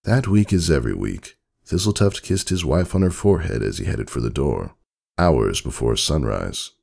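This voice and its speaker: A man giving a dramatic storybook narration in a measured, solemn, theatrical manner. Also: a bass voice, big pitch swings, vocal fry, darkish tone, slightly rough.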